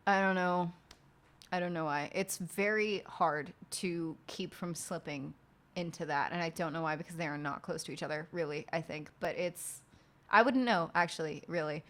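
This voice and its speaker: Rambling, swearing woman with a low voice. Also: moderate pace, a bit bright. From outside the United States. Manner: rambling, heavy swearing, many fillers. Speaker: streamer and podcast host. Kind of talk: casual late-night chat while working.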